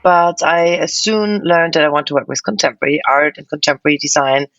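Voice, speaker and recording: A woman's voice: sits low, fairly even intonation, a little fast, a bit bright, no room sound, lo-fi sound. A woman from Austria, a museum curator, answering interview questions thoughtfully with frequent fillers and restarts. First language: German.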